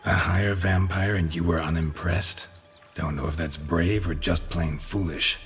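Super low stoic monster hunter, fantasy game, terse n deadpan, bit of music under it. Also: male voice, measured pace. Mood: dry. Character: stoic, world-weary male monster hunter. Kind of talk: fantasy video game acting.

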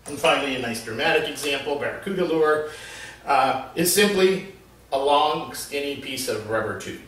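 A middle-aged man, an animal behaviorist, explains things patiently and steadily, often pausing on "uh". His voice rings around a very reverberant space. He sits a little back from the microphone. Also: medium pitch, lively intonation, fairly bright tone. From Florida Keys, USA. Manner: measured, explanatory, with frequent "uh" fillers. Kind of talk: explanatory video lesson. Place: covered porch with wooden wall. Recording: very echoey, slightly distant.

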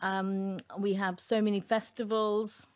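A woman's voice: medium pitch, level tone, a little slow, a little mellow. A woman in her fifties from India, an architect telling her story as a radio guest at a studio mic. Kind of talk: panel interview answer. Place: room set up for broadcast. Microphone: studio mic, headphones.

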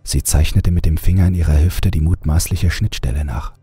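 A male narrator reads a scripted horror story. His voice is very deep and heavily husky, with a dark, mellow colour. Faint music plays behind him. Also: brisk.

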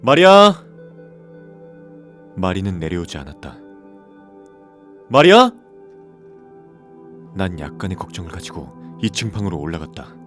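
A man's voice: low-pitched, wildly swooping pitch, a little slow, pauses often, fairly bright tone, soft background music, heavily acted. A man playing a troubled widowed father in his thirties who narrates a scripted horror story and acts out his lines. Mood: alarmed, angry.

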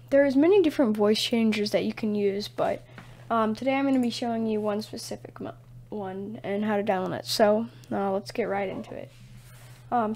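A woman presenting a casual software tutorial in a halting, hesitant way. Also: a little high.

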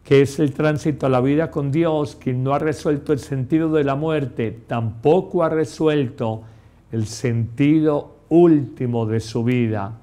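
A middle-aged priest delivers a homily at an unhurried, deliberate pace. He preaches with emphasis and talks straight to the listener. His voice sits at an ordinary pitch for a man, recorded close in a small chapel. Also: warm tone. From Colombia. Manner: slow, emphatic preaching, addressing the listener directly. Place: small chapel set, indoors.

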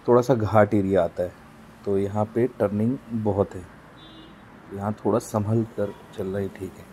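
A man vlogging a road trip from inside a moving car, narrating casually with frequent filler pauses. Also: fairly low pitch, mellow, rough recording. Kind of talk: casual narration while driving. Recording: car with faint road noise.

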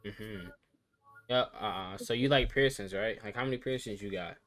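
A man's voice: fairly low pitch, normal speed, a bit bright. An eighteen-year-old man from Texas chatting with strangers on a video chat site, teasing in blunt, crude slang. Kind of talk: casual trolling banter. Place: bedroom at a computer.

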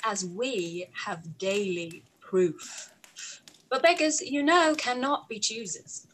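A young woman playing a witty, frank, playful gentlewoman in a period drama. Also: medium pitch, takes it slowly. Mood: wry. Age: young adult. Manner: arch, playful. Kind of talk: play read-through, period drama. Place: indoor room by a window, over video call. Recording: noisy video-call audio.

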